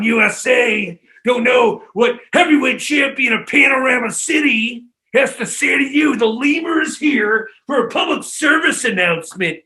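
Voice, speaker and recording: A screaming wrestling-promo champion with a very high, gravelly voice. He is a hot-tempered braggart who shouts insults in a comedy sketch. His tone is bright and cutting. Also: heavily acted. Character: shouting pro-wrestler-style male champion, furious braggart.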